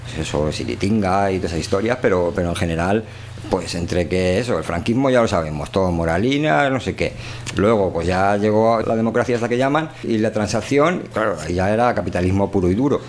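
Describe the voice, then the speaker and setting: With faint noise behind him, a man with a rather low voice chats as a radio host. His Castilian Spanish is colloquial and he rambles loosely from thought to thought. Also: lively intonation, brisk. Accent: Castilian Spanish, Spain. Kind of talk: informal, chatty monologue and discussion.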